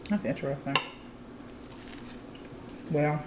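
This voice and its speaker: Candid low-voiced woman in her thirties. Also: fairly even intonation, takes it slowly, echoey room, noisy background. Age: 30s. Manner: candid, chatty, explains plainly. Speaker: channel co-host. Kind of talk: casual chat.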